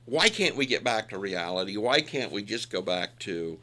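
A man's voice: fairly low pitch, big pitch swings, normal speed, bright, forceful tone. A man in his sixties chatting casually with a co-host, folksy and self-deprecating, leaning into emphatic points. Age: 60s. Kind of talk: casual chat.

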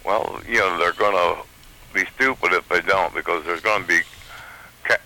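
A man with a very low voice and a very sharp, bright edge calls in to a talk radio show. He speaks plainly, with casual, everyday grammar, and takes his time making his point. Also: moderate pace, low-quality recording. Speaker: caller. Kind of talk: call-in radio conversation.

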